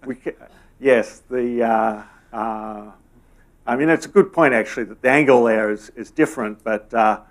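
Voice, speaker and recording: A middle-aged man answering audience questions after a lecture in a conference room, halting, with many fillers and restarts. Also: medium pitch.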